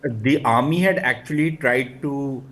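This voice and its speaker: A man's voice: mid-range voice, measured pace, fairly bright tone, poor audio. A man with an Indian accent giving blunt, scornful, opinionated analysis on a panel, arguing point by point. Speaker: political commentator on a panel.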